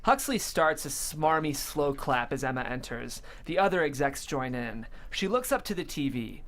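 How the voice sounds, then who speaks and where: A woman's voice: sits low, expressive, moderate pace. A woman reading stage directions as scripted narration at a comedy table read.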